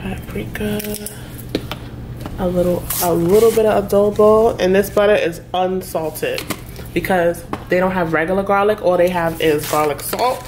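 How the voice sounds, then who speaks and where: A woman's voice: lowish voice, fairly even intonation, normal speed. Young woman, home cooking vlogger, easygoing patter, kitchen noise and sizzle in the background. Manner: chatty, lists ingredients as she cooks. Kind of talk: casual cooking vlog narration.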